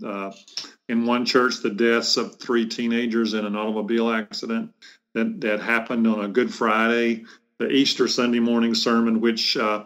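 A middle-aged man, a bishop answering interview questions in a reflective, halting way with measured phrasing. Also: medium pitch, fairly bright tone. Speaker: bishop, podcast guest. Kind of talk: thoughtful interview conversation.

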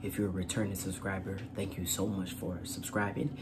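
Man hosting storytime, clear background noise. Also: sits low, flat delivery, fairly quick. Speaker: host of a children's read-aloud channel. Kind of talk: storytime read-aloud and channel chat.

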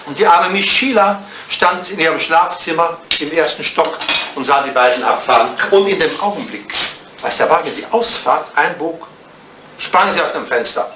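An actor playing an elderly, devoted English butler in a 1980s German radio drama, speaking formally and deferentially with dry understatement. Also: male voice, on the high side, lightly acted. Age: older. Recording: roomy, noisy old radio play recording.

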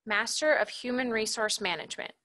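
A woman formally announcing names at a graduation ceremony, enunciating clearly in short separate phrases. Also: a little high, a little fast, sharp tone.

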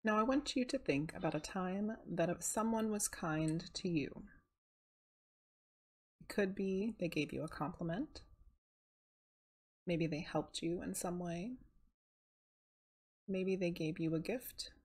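A woman leading a guided meditation in a calm, soothing, unhurried manner, with a soft, breathy voice. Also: on the low side.

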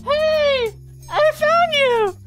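A flighty, pushy hedgehog girl gushes squeakily in a parody game dub. The pitch is sky-high and drawn out, with loud music underneath. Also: lively intonation, extremely slow, exaggerated voice. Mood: pleading. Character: flighty, pushy young female cartoon hedgehog. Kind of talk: improvised comedic game fandub.

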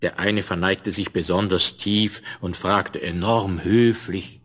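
A man narrating a scripted fairy tale for a 1970s audio play, with an expressive storyteller's delivery. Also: sits low, takes it slowly, slightly rough.

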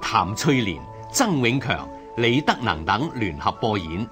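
A man's voice: lowish voice, very animated, a little slow. A man with a Hong Kong Cantonese accent reading a scripted announcement of programme credits. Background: music.

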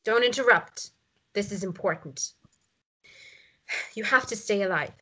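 A woman's voice: mid-range voice, unhurried, pushed and bright, low-quality recording. An actress playing a stern woman captive who takes command like a military leader, giving firm, controlled orders in a drama read-through. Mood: urgent, commanding. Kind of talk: script read-through acting.

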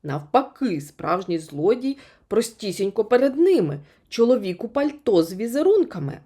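A woman reading a children's story as an expressive audiobook narrator. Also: mid-range voice, moderate pace.

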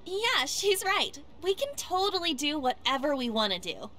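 A bubbly, outspoken teenage girl in an animated game cutscene. Her bright voice is very high, with faint street noise behind it. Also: moderate pace, exaggerated voice. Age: teens.

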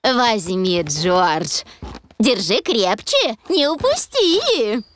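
A woman voicing a friendly, brisk grown-up rabbit vendor in a cartoon dub. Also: on the high side, big pitch swings, a little hiss or hum, heavily acted. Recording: loud cartoon music behind.

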